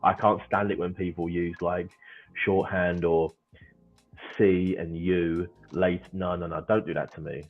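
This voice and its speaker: A man in his thirties joining a podcast livestream as co-host, chatty and given to playful rants, with quick laughs. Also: sits low, little pitch movement, soft background music. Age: 30s. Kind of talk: casual livestream chat. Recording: tinny phone-like call audio.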